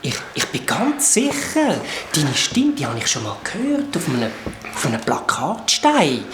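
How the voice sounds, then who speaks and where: An actor plays a plucky young adventurer who takes charge, with a lively, rising and falling voice that often drops to a whisper. A Swiss German accent, set against heavy background noise in a children's radio play. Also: mid-range voice, unhurried. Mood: eager.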